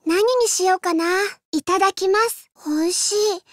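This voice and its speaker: Very high, girlish young game heroine. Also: steady tone, normal speed, no room sound, clean studio sound. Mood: gentle, casual. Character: gentle, polite young Japanese woman in a game. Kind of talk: video game voice clips, short reactive lines.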